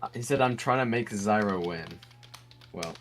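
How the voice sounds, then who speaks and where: A man's voice: fairly low pitch, normal speed, a little hiss or hum. A young man from England giving casual online race commentary from his computer, chatty and laughing often.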